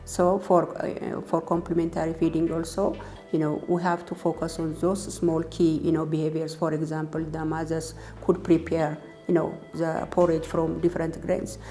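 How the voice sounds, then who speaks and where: A woman speaks in a mellow, very even voice, explaining conversationally as if in an interview. Music runs behind her. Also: sits low, normal speed. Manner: conversational, peppered with "you know". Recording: music bed under speech.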